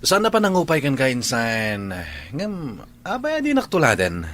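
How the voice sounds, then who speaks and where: A man's voice: fairly high pitch, huge pitch swings, normal speed, fairly bright tone, a little hiss or hum. A man playing a cocky, teasing cousin in a radio drama.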